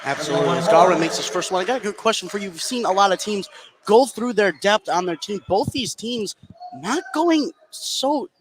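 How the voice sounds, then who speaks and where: A man's voice: high-pitched, expressive, moderate pace. A man doing live play-by-play basketball commentary for radio, fluently narrating the action from inside a gymnasium. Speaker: play-by-play announcer. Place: indoor high school gymnasium. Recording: noisy gym ambience behind commentary. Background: gym noise.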